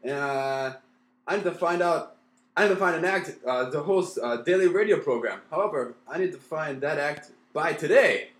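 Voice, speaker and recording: Earnest, stammering male producer, played by a man for radio play, lightish pitch, echoey room. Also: lively intonation, normal speed, lightly acted. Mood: flustered, earnest. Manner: hesitant, stammering. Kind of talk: mock old-time radio play.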